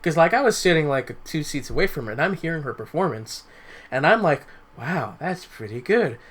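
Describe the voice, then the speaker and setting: A man chatting casually as a podcast co-host, halting and repeating words as he starts his sentences. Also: fairly high pitch, big pitch swings, a bit bright, husky. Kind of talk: casual chat.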